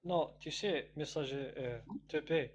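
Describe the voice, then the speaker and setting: A man's voice: on the high side, measured pace. A man who is a native Arabic speaker, debating casually and often restarting his phrases. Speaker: debate panelist. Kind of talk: casual live debate chat. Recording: noisy mic with a hum.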